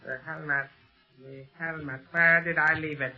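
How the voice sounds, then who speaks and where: A man's voice: on the high side, steady tone, moderate pace, soft background music, lightly acted. Man acting a fearful, servile villain's henchman. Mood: anxious muttering. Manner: obsequious, anxious, formal phrasing. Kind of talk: 1930s radio serial acting. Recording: dull vintage 1930s radio recording.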